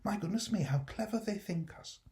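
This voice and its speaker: Man playing a gentle, cheerful young woman, pitched up. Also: animated, fairly quick. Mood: happy, proud. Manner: soft, delighted, eager. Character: gentle, cheerful young working-class woman, fondly teasing. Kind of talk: acted classic storybook reading.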